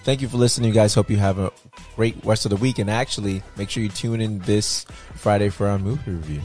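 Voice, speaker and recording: A man's voice: fairly low pitch, quick pace, darkish tone, soft background music. A man co-hosting a podcast in a casual, slangy, bantering chat. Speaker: podcast co-host.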